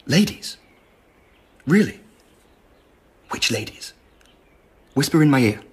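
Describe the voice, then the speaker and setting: Urbane, husky-voiced emperor. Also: male voice, mid-range voice, big pitch swings, takes it slowly, darkish tone, full character voice. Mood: amused, indulgent. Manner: urbane, amused, teasing. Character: genial, indulgent, shrewd male Emperor. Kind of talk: radio drama dialogue.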